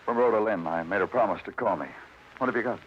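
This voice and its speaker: Hard-boiled American detective, male actor, low, terse and brooding, vintage radio crime drama, muffled sound. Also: fast talker, a little hiss or hum, close to an everyday voice. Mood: businesslike. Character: weary, hard-boiled New York police detective. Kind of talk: 1940s radio crime acting, dramatic narration. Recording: dull vintage radio recording, faint music.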